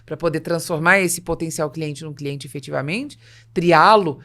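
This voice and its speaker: A Brazilian woman hosts a business conversation in a voice pitched a little low. She explains by illustration, often posing rhetorical questions as she goes. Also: normal speed, fairly bright tone. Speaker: podcast host.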